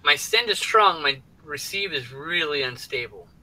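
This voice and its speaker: A man talking casually on a group call, halting and repeating himself. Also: fairly high pitch, expressive, sharp tone, poor audio.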